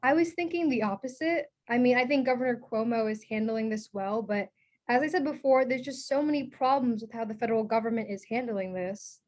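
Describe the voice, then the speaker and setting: A woman performs an outspoken woman in a documentary play. Her voice sits a little high. She speaks as a frustrated participant in a serious group discussion. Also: fairly quick, darkish tone, close to an everyday voice. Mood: frustrated, insistent.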